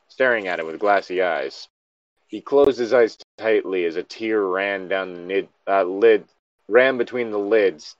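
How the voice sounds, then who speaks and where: A man's voice: fairly low pitch, no room sound. A man casually reading a story aloud, with irreverent, sweary joking asides. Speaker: co-host reading stories aloud. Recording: dry, dull lo-fi microphone.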